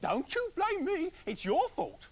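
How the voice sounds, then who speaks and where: Brief: shrill nagging wife for a storybook, cross and sharp. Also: male voice, huge pitch swings, fairly quick, exaggerated voice. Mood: furious. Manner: scolding, shrill. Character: grumpy shrill housewife, middle-aged.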